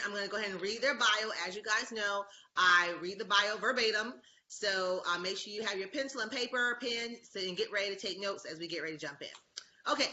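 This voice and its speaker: A woman hosts a casual online talk show, gushing with enthusiasm as she introduces her guests. Her tone is very bright and a little scratchy. The audio is dull and lo-fi, like a video call. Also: medium pitch. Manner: enthusiastic, gushing, reads bio aloud. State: slightly scratchy voice. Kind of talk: casual interview chat.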